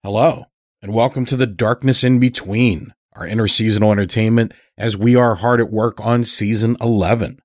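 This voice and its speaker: A man hosting and narrating a horror fiction podcast, reading from a script in a measured, deliberate way. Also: fairly low pitch, fairly even intonation, no room sound, studio quality. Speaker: podcast host and story narrator.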